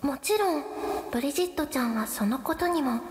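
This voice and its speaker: A woman playing an anxious, easily flustered high school girl who narrates her troubles in a radio drama, with a hesitant, pleading way of talking. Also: animated, brisk, darkish tone, a little hiss or hum. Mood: calm narration. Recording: roomy echo, music and background chatter.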